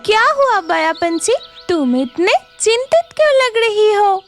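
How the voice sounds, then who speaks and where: Woman voicing a kindly, high-voiced nature goddess. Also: very animated, a little slow, faint music behind, exaggerated voice. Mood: concerned. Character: kindly nature goddess, gentle and encouraging spirit. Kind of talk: Hindi children's cartoon dialogue.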